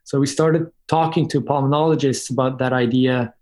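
A man's voice: mid-range voice, steady tone, moderate pace, a little mellow. Methodical male guest. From Switzerland. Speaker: guest, company co-founder. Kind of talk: conversational interview answers.

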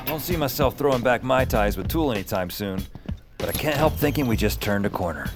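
A man's voice: mid-range voice, lively intonation, a little fast, music in the background, close to an everyday voice. An actor playing a wry, upbeat everyman hero, narrating his thoughts casually in an audio drama. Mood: wry, sunny. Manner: wry, casual, self-amused.

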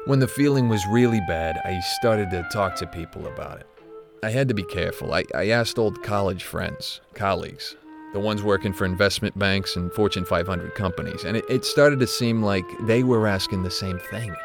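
An actor playing an older, guilt-ridden retired corporate executive who confides haltingly, as in an audio drama interview. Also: male voice, deep voice, creaky, music in the background. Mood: guilty, confiding. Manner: hesitant, confessional, halting.

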